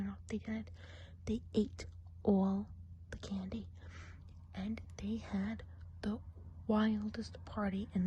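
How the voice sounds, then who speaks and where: A woman playing a friendly, gossipy witch of the woods in a hushed, chatty ASMR role play, in a soft breathy whisper. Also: mid-range voice, slight background noise, close to an everyday voice, low-quality recording.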